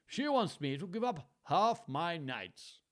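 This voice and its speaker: A man's voice: fairly high pitch, big pitch swings, slow, voice pushed up. A man voicing a proud old king who whines and rages, read aloud from a storybook. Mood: whining.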